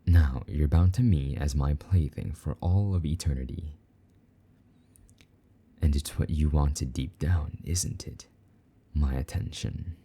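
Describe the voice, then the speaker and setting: A man's voice: very deep voice, slow, long pauses, mellow, slightly rough, full character voice. A man playing a possessive, teasing demon lover in an intimate roleplay monologue, taunting and doting by turns. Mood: smug, possessive.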